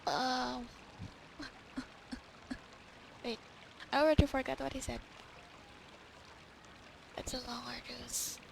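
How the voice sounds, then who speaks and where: A woman's voice: high voice, little pitch movement, very slow, creaky, pauses often, background noise. A woman gamer giving casual, murmured, offhand reactions as she plays. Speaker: gamer recording her playthrough. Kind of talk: casual reactions while gaming.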